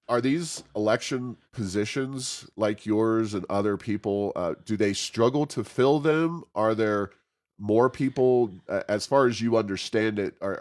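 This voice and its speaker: A man hosting an informal interview, feeling his way through questions with hesitant, roundabout phrasing. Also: on the low side. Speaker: interview host.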